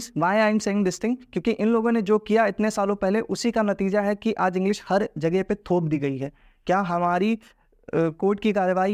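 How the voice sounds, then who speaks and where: A man's voice: high-pitched, darkish tone, dry recording, clean studio sound. A man with an Indian accent teaching English pronunciation, talking emphatically, asking rhetorical questions and switching between Hindi and English. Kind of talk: classroom lesson mixing Hindi and English.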